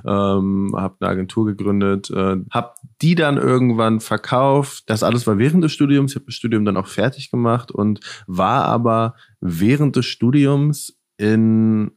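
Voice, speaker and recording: A man's voice: lowish voice, a little slow, hardly any pauses, no room sound, clean studio sound. A young man from northern Germany, a founder answering interview questions casually and reflectively, often searching for words. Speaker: podcast guest, founder.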